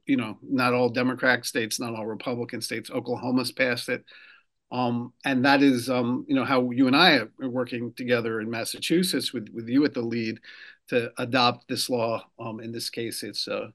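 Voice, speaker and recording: A man from New Jersey, a political consultant, explaining a law in a radio interview, pausing often with fillers and restarts. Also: mid-range voice, fairly even intonation, a bit bright. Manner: measured, many fillers and restarts. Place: lives in Rhode Island.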